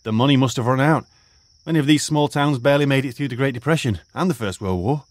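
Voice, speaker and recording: A man's voice: mid-range voice, no room sound, close to an everyday voice. A man playing a lecturing old archaeologist teacher. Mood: measured. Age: older. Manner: measured, explanatory, professorial. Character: retired archaeologist turned high school teacher, lecturing and cautious. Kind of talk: audio drama acting.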